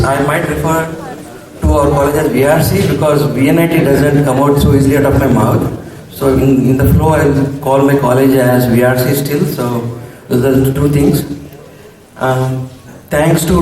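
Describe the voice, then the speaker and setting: A man in his forties from coastal Andhra Pradesh with an Indian English accent, slightly hoarse, giving a hesitant formal vote of thanks at an alumni reunion. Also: mid-range voice, dark tone. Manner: hesitant, many ums and restarts. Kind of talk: formal public speech with pauses. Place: large hall. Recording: echoey hall mic from far off.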